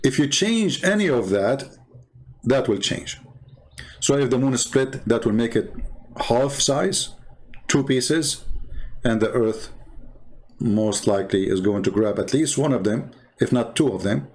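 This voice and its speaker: Halting man with non-native English accent. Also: medium pitch, expressive, husky. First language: not English. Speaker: host and religious debater. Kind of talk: improvised commentary over visuals.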